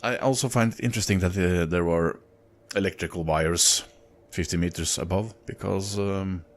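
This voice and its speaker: A man with a Norwegian accent reading sighting reports aloud in an unhurried way, breaking off for casual, halting comments. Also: low voice. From Norway. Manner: unhurried, halting in asides, chuckles at own slips. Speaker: narrator of UFO reports.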